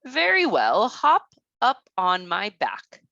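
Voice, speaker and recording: Lady doing a big friendly grasshopper guy in a kids tale, animated, hearty, polite. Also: mid-range voice, slow, creaky, no echo, dull audio, full character voice. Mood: inviting. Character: big green grasshopper, jolly obliging gentleman.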